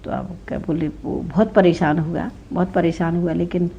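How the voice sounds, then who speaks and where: A woman's voice: lowish voice, steady tone, normal speed, vocal fry, warm tone, slight background noise. A woman narrating a folk tale informally, in a conversational way that checks in with the listener. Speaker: storyteller. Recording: dull lo-fi recording.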